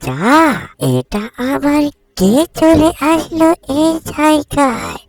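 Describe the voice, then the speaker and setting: A woman's voice: high-pitched, big pitch swings, slow pace, a little mellow, dry recording, full character voice. A woman voicing a small, clever young bird chick in a cartoon, earnest and childlike. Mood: alarmed, pleading. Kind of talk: Bengali cartoon voice acting.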